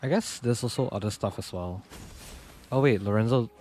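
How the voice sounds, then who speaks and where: A man's voice: on the low side, normal speed, darkish tone, slight background noise. A man giving casual, slangy live reactions as a game streamer, often in short exclamations. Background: game music.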